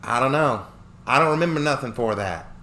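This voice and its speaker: A man voicing a hostile young female dragon-girl with a rough Southern country drawl and a snappish, suspicious manner. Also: mid-range voice, animated, fairly quick, sharp tone, voice pushed up. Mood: grumbling. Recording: roomy echo, faint noise.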